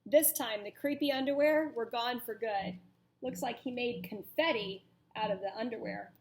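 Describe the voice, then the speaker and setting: A woman teacher reading a picture book aloud to children in a deliberate, dramatic way, pausing to ask them questions. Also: on the high side, slight background noise. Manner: deliberate, dramatic storytelling delivery.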